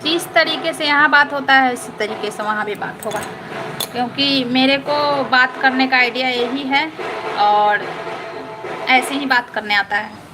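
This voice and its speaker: A woman hosts a casual live stream, answering viewers one by one in a high, chatty voice. She talks in loose repetitive loops and keeps checking that they understood. Noisy household ambience sits under her voice. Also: measured pace. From Patna, Bihar.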